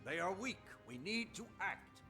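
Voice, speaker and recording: An actor playing a hawkish, ambitious American admiral in a game cutscene, formal and emphatic. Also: male voice, high-pitched, sing-song, measured pace, pushed and bright, heavily acted. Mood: urgent, indignant. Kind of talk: dramatic game cutscene acting. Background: music.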